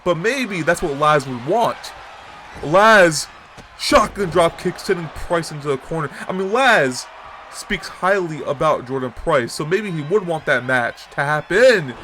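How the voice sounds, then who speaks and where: A man with a stuffy nose and sore throat calling a wrestling match as host, excitable and jumping to shouts on big moves. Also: on the high side, a little slow, slight background noise. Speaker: wrestling commentator and show host. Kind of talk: play-by-play commentary.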